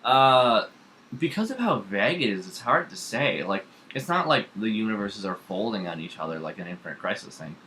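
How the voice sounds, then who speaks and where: A young man with a bright, forceful voice chats casually as a co-host. He jumps in with firm opinions, and there is a faint noise in the background. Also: fairly low pitch, expressive, brisk, poor audio. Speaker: co-host, the host's son. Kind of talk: casual chat about comics. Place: kitchen at home.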